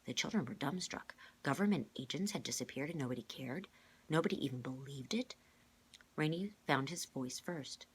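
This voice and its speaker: Low-voiced woman reading a story aloud. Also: expressive, moderate pace, slight background noise. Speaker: reader, teacher reading to a class. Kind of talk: read-aloud storybook narration.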